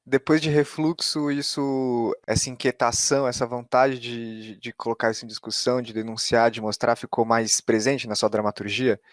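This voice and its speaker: A Brazilian man hosts a conversational interview in a mid-pitched voice. He feels his way through reflective questions, and the sound is dry, with no room echo. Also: fairly even intonation, normal speed, few pauses. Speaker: podcast interviewer.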